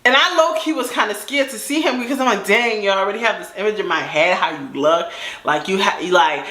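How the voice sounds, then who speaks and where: A young woman with a fairly deep voice telling a casual story in a lively, laughing way. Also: fairly quick. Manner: animated, laughing, acts out quoted voices. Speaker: storytime vlogger. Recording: echoey room.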